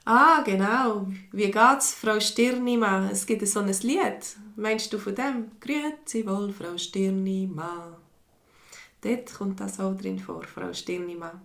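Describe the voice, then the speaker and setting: Swiss woman teacher, slow and patient, gravelly edge, roomy echo; live online lesson. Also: mid-range voice, fairly bright tone. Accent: mixed Swiss German. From Switzerland. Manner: slow, patient, reads viewers' comments aloud. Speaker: language teacher. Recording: echoey room.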